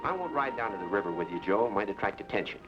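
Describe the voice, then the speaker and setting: An actor playing a weary lawman captain who speaks in a grave, measured way. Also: on the low side, animated, over music, close to an everyday voice. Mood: somber. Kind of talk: 1950s western film dialogue. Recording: dull old film soundtrack with score.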